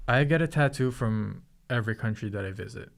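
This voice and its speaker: Filipino-accented young man, gravelly and low. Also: a little fast. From Philippines. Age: 20s. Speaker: co-host. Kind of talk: casual chat.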